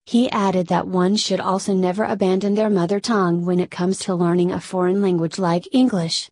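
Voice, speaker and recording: A woman reading a news feature aloud in an even, steady delivery. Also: on the low side, normal speed, dry recording, studio quality. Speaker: news reader.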